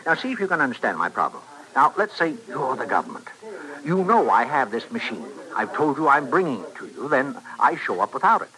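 An actor playing a smooth-talking, urgent professor and inventor in a radio western, articulate and theatrical. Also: male voice, medium pitch, very animated, a little fast, sharp tone, poor audio. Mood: persuasive. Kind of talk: 1950s-60s radio western acting.

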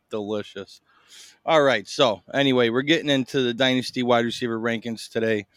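A man in a mid-range voice chats loosely through a fantasy football podcast, full of fillers and easy laughs. His voice is close and dry, with almost no room around it. He talks between bites of a meal, relaxed and unhurried. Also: mid-range voice, no room sound. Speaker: podcast co-host. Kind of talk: casual fantasy football chat. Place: in Boston, eating a meal while recording.

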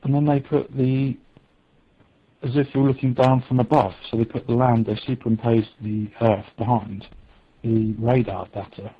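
Husky male explainer, matter-of-fact, lo-fi clip sound. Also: mid-range voice, level tone, moderate pace. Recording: dull lo-fi video clip.

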